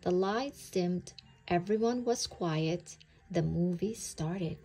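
A woman reading a children's story aloud in an expressive, deliberate way. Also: lowish voice, faint music behind.

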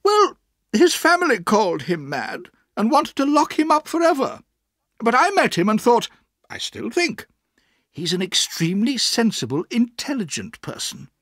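A narrator voicing a stern, sharp-tongued older woman, an aunt with an emphatic, commanding manner, in a storybook reading. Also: mid-range voice, wildly swooping pitch, normal speed, no room sound, clean studio sound, pitched above the performer's own voice. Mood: confident.

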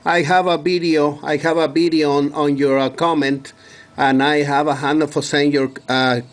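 A man with a fairly high voice works a two-way radio contact. He is from Mexico, speaks courteously and repeats key details and call signs. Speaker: radio operator.

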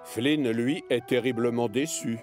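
A man narrates a children's story from a script. His voice sits at an ordinary male pitch, and music plays clearly underneath. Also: steady tone, a little slow, a little mellow. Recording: music behind the voice.

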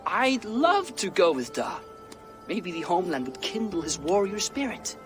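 A man's voice: sits high, sing-song, moderate pace, music in the background. A young man playing a brash, defiant young Viking prince in a video game cutscene. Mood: earnest, frustrated. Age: young adult. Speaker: game character actor.

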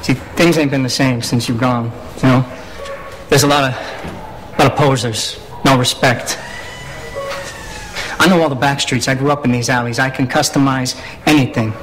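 An actor playing an eager, nervous young street-racing fan in a video game, hesitant and earnest. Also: male voice, mid-range voice, steady tone, normal speed, fairly bright tone, faint music behind, close to an everyday voice, low-quality recording. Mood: earnest pitching. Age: young adult. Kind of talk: video game cutscene acting.

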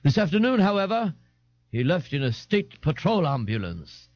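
Posh London gentleman, swooping pitch. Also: big pitch swings, moderate pace, no room sound, lo-fi sound. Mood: haughty. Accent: posh London English. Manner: crisply enunciated, haughty. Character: pompous fake-posh gentleman, an assumed telephone voice. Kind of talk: audiobook character voice.

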